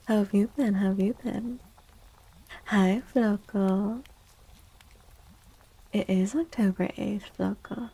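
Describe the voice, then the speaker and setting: A woman speaks softly and close to the microphone as an ASMR live streamer. Her voice is dark and relaxed, with plenty of gentle creak, and she often slips into whispers. She takes her time, repeating phrases in an unhurried, gentle chat. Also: medium pitch, low-quality recording. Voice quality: soft, breathy, often slipping into whispers. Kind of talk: soft-spoken ASMR chat with live viewers.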